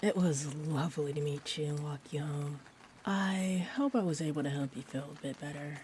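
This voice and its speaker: A woman playing a sweet, shy female vampire in an intimate roleplay audio, rambling gently and hesitantly. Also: low voice, moderate pace, close to an everyday voice. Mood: admiring, caring. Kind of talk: roleplay audio, intimate one-sided chat. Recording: steady rain-like ambient noise. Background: rain ambience.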